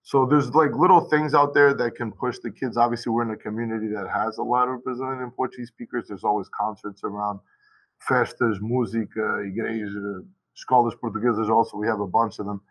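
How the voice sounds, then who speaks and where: A man who teaches high school Portuguese, talking casually and at length on a video call from his school, with many restarts and asides. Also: fairly even intonation, a little fast, fairly bright tone, rough recording.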